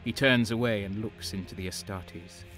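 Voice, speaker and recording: A haunted soldier, played by a man, recounts a living nightmare in a fairly low voice. The delivery is intense, halting and theatrical, in dark audio drama style, with faint music underneath. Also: natural voice, rough recording. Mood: awed dread. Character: haunted, horror-struck traitor soldier narrating his own nightmare. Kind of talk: dramatic first-person audio drama narration.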